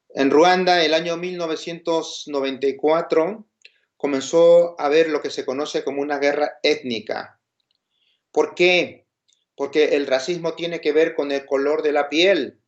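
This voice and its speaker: A man with a Peruvian Spanish accent giving an informal, explanatory lecture, posing questions and checking in with his listeners. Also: a little high. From Peru. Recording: dull, lo-fi sound.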